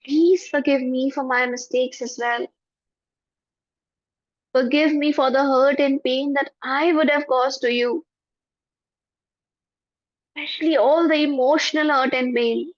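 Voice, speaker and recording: A woman leading a guided forgiveness meditation in a soft, prayerful voice with slow repetitions. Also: high-pitched, steady tone, no room sound. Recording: dull lo-fi, dry.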